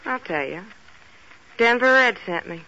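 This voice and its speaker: Sultry hard-boiled radio femme fatale. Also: female voice, medium pitch, expressive, measured pace, bright, forceful tone. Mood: coolly flirtatious. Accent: American. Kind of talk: 1940s radio detective acting. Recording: dull, noisy old radio recording.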